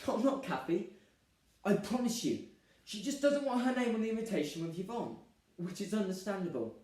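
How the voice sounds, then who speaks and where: A man's voice: high-pitched, big pitch swings, brisk, a little mellow. A young man playing a harried, put-upon groom in a dramatic stage monologue, theatrical, emphatic and exasperated. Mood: defensive. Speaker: drama student performer. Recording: very echoey room, distant microphone.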